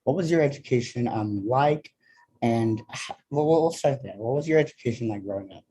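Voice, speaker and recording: A young man hosting an online panel discussion, speaking haltingly with many pauses and restarts. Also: medium pitch, dark tone, slight background noise, low-quality recording. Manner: halting, full of ums and restarts. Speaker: panel moderator.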